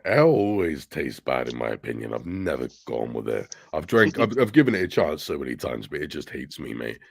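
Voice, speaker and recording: A man with a very low, gravelly voice chats casually on a movie livestream. His tone rises and falls a lot, with a husky edge, and he often laughs and plays himself down. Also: big pitch swings, a little fast, no room sound. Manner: laughing, self-deprecating. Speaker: podcast co-host.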